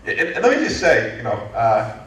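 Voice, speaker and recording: A man in his fifties from the United States, answering an audience question at a lecture in a lively, joking way with many restarts. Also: mid-range voice, moderate pace. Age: 50s. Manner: animated, joking, frequent restarts. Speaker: guest speaker, entrepreneurship lecturer. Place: lecture hall. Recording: echoey hall from far off.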